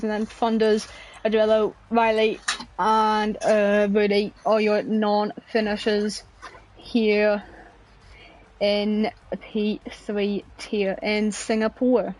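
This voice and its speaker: A woman giving excited sim racing commentary while losing her voice. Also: mid-range voice, poor audio. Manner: excitable, laughs at herself. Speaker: race commentator.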